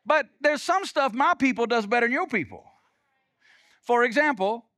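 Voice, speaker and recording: Southern preacher with a high, bright voice. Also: male voice, no echo, studio quality. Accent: Southern US (Alabama). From Alabama, US. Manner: preaching with rising and falling intensity, emphatic, conversational asides. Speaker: pastor. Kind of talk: sermon.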